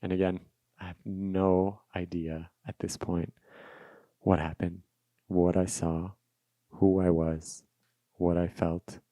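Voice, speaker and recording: A man with a low voice and a flat, even delivery, a native Russian speaker. He hosts a solo podcast, talking reflectively and off the cuff about personal experience, often repeating a phrase for emphasis. The sound is dry, with no room around it. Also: takes it slowly, pauses often, no room sound. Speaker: podcast host. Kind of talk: unscripted solo podcast monologue, personal storytelling.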